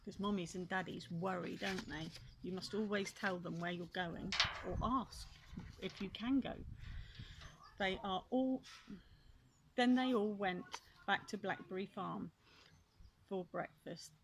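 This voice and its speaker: An older woman reading a children's story aloud, expressively, with gently chatty asides to young listeners. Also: medium pitch, fairly even intonation, unhurried. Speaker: grandmother reading a children's book. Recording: clear background noise and clicks.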